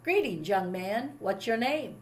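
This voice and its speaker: A woman voicing a calm, courteous American gentleman in a storybook, polite and reassuring. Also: medium pitch, unhurried, close to an everyday voice.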